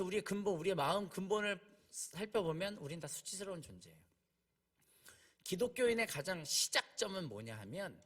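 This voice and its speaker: A man preaching a sermon, emphatic, slowing down on key phrases and asking rhetorical questions. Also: high voice, breathy edge. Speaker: preacher, junior pastor.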